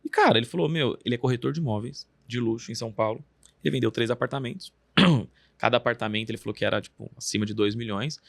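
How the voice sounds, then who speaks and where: A man with a Brazilian Portuguese accent who hosts a podcast, asking questions in a relaxed, chatty way full of filler words. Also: medium pitch, quick pace, creaky, no room sound. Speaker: podcast host. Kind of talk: casual podcast interview.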